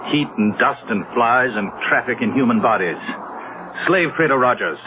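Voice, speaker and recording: An actor playing a cynical gentleman thief and ladies' man in a 1970s radio drama, sardonic and theatrical. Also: mid-range voice, animated, normal speed, lightly acted. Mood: bitter, sardonic. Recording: noisy, tinny old radio broadcast.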